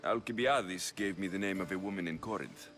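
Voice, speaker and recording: An actor playing a wary, blunt young mercenary in a video game, speaking in a terse, guarded way. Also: male voice, deep voice, fairly quick, a bit bright, faint music behind. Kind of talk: video game dialogue acting.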